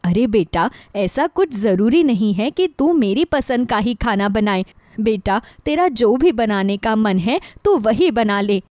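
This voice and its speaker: A woman's voice: a little high, expressive, measured pace, a little mellow, no echo, studio quality. A woman playing an affectionate older mother-in-law who frets about her household in a moral story. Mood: warm, approving. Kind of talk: acted dialogue in a moral story.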